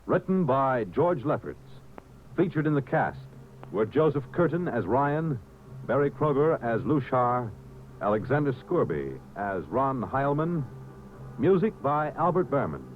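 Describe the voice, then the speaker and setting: A man's voice: medium pitch, very animated, a little slow, slight background noise. A man announcing from a script in dramatic 1950s radio style. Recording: narrow-band vintage radio recording.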